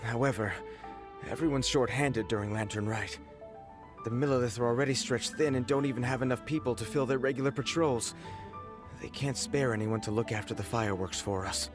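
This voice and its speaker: A man's voice: medium pitch, a little fast, close to an everyday voice. A man voicing an anxious, deferential festival organizer in a video game, earnest and flustered as he explains. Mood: worried. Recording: music playing behind.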